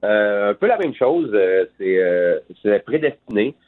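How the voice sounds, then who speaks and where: A man, a guitarist speaking relaxed and informally as an interview guest. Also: on the low side, unhurried. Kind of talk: casual interview chat. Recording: tinny phone line.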